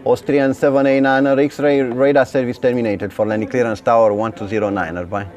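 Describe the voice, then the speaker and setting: A man's voice: medium pitch, level tone, a little mellow. A man giving air traffic control instructions in clipped phraseology. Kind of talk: English radio phraseology. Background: music.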